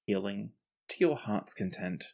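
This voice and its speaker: A man playing a wry, gallant friend who teases gently and mocks himself, in improvised tabletop roleplay with dry, deliberate phrasing. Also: fairly low pitch, lively intonation, creaky, full character voice. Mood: dry, reassuring. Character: wry, gallant male friend, gently teasing, self-mocking.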